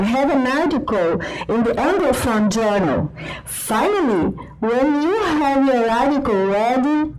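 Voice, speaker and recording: A Brazilian woman whose first language is Portuguese, a researcher reading a prepared talk to a conference panel in an earnest, deliberate way. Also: high-pitched, a little mellow, a little hiss or hum, rough recording. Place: conference hall.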